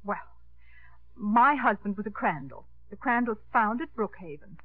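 A woman's voice: mid-range voice, lively intonation, measured pace, dry recording, phone-quality sound. An actress playing a dignified, easily affronted small-town lady mayor in a 1950s radio comedy. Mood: prim, formal. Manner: proper, crisp, easily affronted. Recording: thin, band-limited 1950s radio broadcast.

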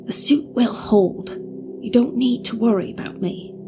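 A protective young woman contortionist, voiced by a female storyteller with a lifted, brighter pitch than the narration around her. She speaks with pleading urgency, as in a storybook reading. Music plays clearly underneath. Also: unhurried, close to an everyday voice. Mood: urgent.

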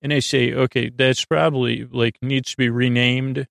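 A man hosting a sleep podcast, rambling in a meandering, stammering monologue with frequent digressions and a gravelly, creaky voice. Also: medium pitch, little pitch movement, normal speed, a bit bright, no room sound, studio quality. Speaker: podcast host.

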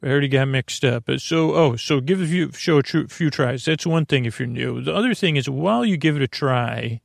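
A man with a creaky voice hosting a sleep podcast, rambling to the listener in a meandering, tangled, self-deprecating way. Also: medium pitch, lively intonation, fairly quick, no echo, studio quality. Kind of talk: rambling bedtime monologue to the listener.

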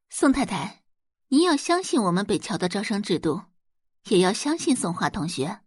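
A woman voicing a polite but unyielding female high school teacher in an audio drama, composed, formal and firm. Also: sing-song, measured pace, husky, no echo, studio quality. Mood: earnest.

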